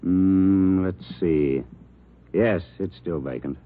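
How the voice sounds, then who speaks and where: An actor playing a tight-lipped, penny-pinching hotel manager, laconic and grudging, in a hissy, muffled 1940s radio drama. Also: male voice, low-pitched, takes it slowly. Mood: guarded. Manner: laconic, grudging, drops subjects from sentences.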